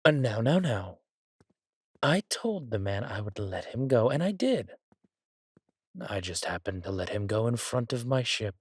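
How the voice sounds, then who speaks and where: A husky, breathy villain king, acted by a man, drawling slowly in a dry, close recording. He is arrogant and sardonic, a theatrical sulker with a cruel streak, in the manner of a roleplay audio drama. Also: mid-range voice, sing-song, no room sound. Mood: defensive, sardonic. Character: arrogant, petulant villain king, cruel yet doting on his consort.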